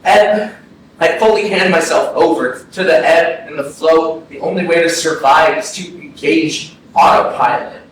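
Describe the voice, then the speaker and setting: A man's voice: a little high, a little slow, natural voice. A man acting a grieving, self-conscious young man who mourns a dead friend in a poetic staged reading. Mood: weary, brooding. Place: indoor arts center stage, recorded from afar. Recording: very echoey hall, distant, faint noise.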